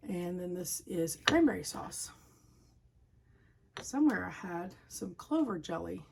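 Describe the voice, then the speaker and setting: A woman with a Southern US accent chatting casually and informally to viewers as a home video host, her voice slightly hoarse and recovering. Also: on the low side, animated, unhurried, background noise. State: slightly hoarse after a cough and lost voice.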